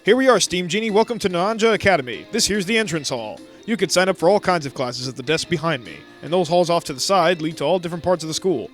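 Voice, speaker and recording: An eager, cheerful schoolgirl from a video game, read aloud flatly by a man. The delivery is plain text-reading with a slightly raised pitch. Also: quick pace, hardly any pauses, faint music behind. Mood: upbeat.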